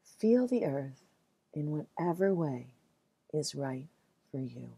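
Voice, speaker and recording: A low-voiced woman leads a guided meditation very slowly, with soothing, gentle phrasing. She leaves long, measured pauses between short phrases. The recording is close and dry. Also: big pitch swings, creaky, husky, no room sound.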